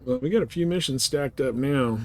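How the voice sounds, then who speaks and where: A man's voice: mid-range voice, animated, a little fast. A man chatting casually with viewers as he live streams a game. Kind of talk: casual chat over gameplay.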